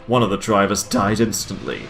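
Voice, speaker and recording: A man's voice: lowish voice, steady tone, moderate pace, a bit bright. A man giving a comic dramatic reading of fan fiction as a host, with amused asides. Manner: amused, breaks into laughter while reading. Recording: music behind the voice. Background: background music.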